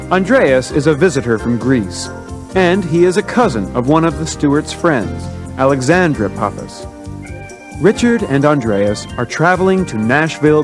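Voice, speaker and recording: A man giving clear, instructional scripted narration for English learners. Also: fairly high pitch.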